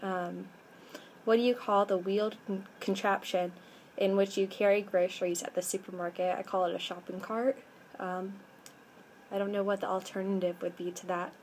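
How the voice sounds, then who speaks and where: A woman's voice: medium pitch, fairly even intonation, normal speed, darkish tone, a little hiss or hum, rough recording. A woman in her twenties from the American Midwest, with a Midwestern accent, chatting casually on a webcam vlog and saying um often. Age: 20s. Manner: chatty, with frequent ums. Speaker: vlogger. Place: indoors by a window. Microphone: webcam at arm's length.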